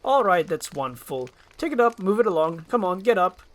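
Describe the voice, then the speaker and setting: A man's voice: sits high, big pitch swings, normal speed, pitched above the performer's own voice. A man voicing a bossy, impatient head guard with a curt, barking manner in a storybook reading.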